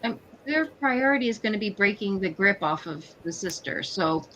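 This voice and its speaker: A woman's voice: medium pitch, expressive, normal speed, bright, forceful tone, a little hiss or hum, poor audio. A woman chatting casually as a player in a tabletop roleplay, talking through tactics. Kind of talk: casual tactical game chat.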